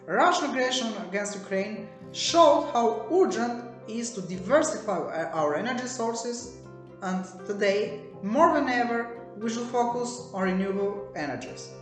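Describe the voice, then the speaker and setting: A young woman from Albania, giving a steady prepared statement point by point. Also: a little high, measured pace. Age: young adult. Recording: roomy echo with faint music.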